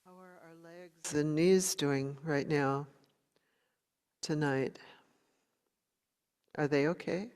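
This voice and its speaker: A woman meditation teacher leading a guided meditation in a gentle, unhurried way, leaving long pauses between short phrases. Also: low-pitched, steady tone, clean tone. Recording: long hall reverb.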